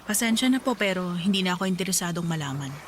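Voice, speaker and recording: A woman's voice: fairly low pitch, lively intonation, fast, a little hiss or hum, lightly acted. A woman playing a guarded, aloof granddaughter in a radio drama, polite yet hesitant. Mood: guarded, cold. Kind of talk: Tagalog radio drama acting.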